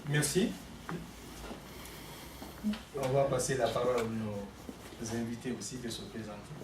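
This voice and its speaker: Guinean guy running a meeting, polite and measured, echoey room, mic far off, noisy. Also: mid-range voice. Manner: measured, polite, leads the turn-taking. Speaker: student moderator of a round of introductions. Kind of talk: formal introductions at a meeting.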